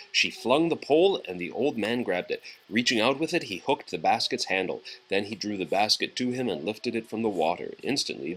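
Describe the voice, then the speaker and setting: A man's voice: lowish voice, a bit bright, breathy edge, poor audio. A man reading a children's picture book aloud as a story for a young child.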